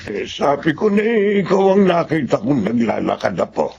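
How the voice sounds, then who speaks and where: A man playing an elderly Filipino grandfather, caring yet stern, with a gravelly voice in a radio drama. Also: on the high side, sing-song, normal speed, darkish tone. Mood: concerned. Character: elderly grandfather, caring but sternly scolding his daughter. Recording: dull lo-fi audio, clear background noise.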